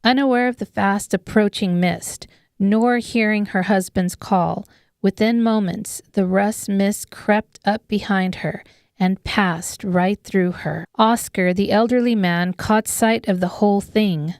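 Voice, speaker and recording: A woman's voice: medium pitch, fairly even intonation, unhurried, darkish tone, no room sound, clean studio sound. A woman reading scripted narration of an apocalyptic horror audiobook. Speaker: author narrating her audiobook.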